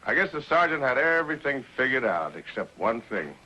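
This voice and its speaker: Forceful, folksy western outlaw. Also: male voice, medium pitch, very animated, brisk, slight background noise. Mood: smug. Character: sly outlaw gold thief posing as a folksy trapper. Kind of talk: 1950s television western acting. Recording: dull vintage television soundtrack.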